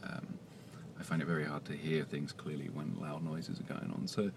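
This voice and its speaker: Soft-spoken male comic writer, very deep and hesitant, noisy recording. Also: little pitch movement, normal speed. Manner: quiet, soft-spoken, hesitant with many fillers. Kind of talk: casual vlog monologue.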